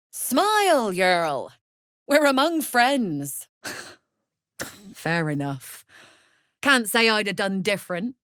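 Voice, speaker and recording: A shrewd female smuggler-merchant from a fantasy video game. She talks unhurriedly, with pitch that rises and dips in lively sweeps. Her manner is sly, wry and all business, and the sound is close and dry. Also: no echo. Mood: unctuous. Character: shrewd, worldly female smuggler-merchant of a criminal trade network. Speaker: trader and guild agent. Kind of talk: video game character dialogue.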